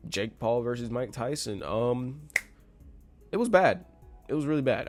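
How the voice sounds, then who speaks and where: Congested, rambling man host. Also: lowish voice. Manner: rambling, restarts, animated emphasis. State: congested, a bit nasal. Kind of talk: casual solo commentary.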